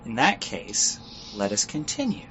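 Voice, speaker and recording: A man playing a guarded, businesslike smuggler guide in a fantasy audio drama, brisk and transactional, on muffled audio. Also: medium pitch, huge pitch swings, bright, forceful tone, exaggerated voice. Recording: muffled audio with faint noise.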